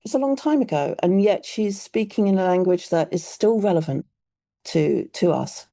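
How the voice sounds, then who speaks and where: A woman with a British accent hosting a poetry podcast, thoughtful and measured as she discusses poems. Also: fairly low pitch, vocal fry, dark tone. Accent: British English. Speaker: podcast host. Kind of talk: conversational podcast chat.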